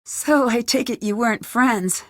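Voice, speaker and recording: An actress playing an inquisitive young amateur detective in a video game, with an American accent and a direct, wry manner. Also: female voice, a little high, measured pace, fairly bright tone, no room sound, studio quality. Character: plucky, inquisitive young female amateur sleuth. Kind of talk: video game character acting.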